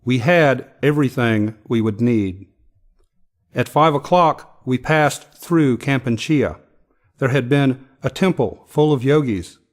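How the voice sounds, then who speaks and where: Slow, measured man reading poetry. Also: mid-range voice. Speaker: poetry reader. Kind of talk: poetry recitation.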